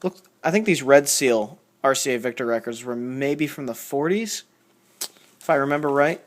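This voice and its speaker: A man talks in a medium-pitched voice, casually and without a script. He rambles a little, thinking aloud and reading labels out as a collector showing off finds would. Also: normal speed. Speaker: record collector showing a haul. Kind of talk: casual unscripted show-and-tell commentary.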